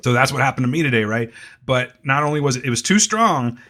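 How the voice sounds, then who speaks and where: A man co-hosts a podcast in a mid-range voice that sounds a bit scratchy and hoarse. He teases his co-host and explains things with mock authority in casual chat. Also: mid-range voice, expressive, fast, bright, forceful tone.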